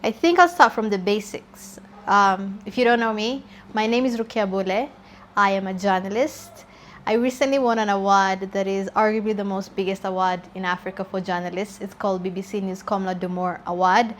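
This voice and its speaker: A woman's voice: mid-range voice, normal speed. Young woman, twenties, casual Q&A vlog, candid chatty talk, faint background hiss. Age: 20s. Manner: chatty and candid, laughs easily. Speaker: journalist and YouTuber. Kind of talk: casual get-to-know-me Q&A vlog.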